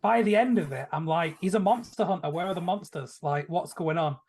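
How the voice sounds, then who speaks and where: A man's voice: on the high side, brisk, darkish tone. A man giving his opinions in a casual film and TV podcast chat. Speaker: podcast panelist.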